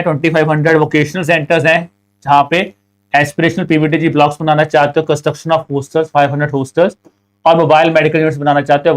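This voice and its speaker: A young Indian man with a sore throat, teaching an online exam prep class. He mixes Hindi and English, reads each question slowly, then explains the answer fast. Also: a little high.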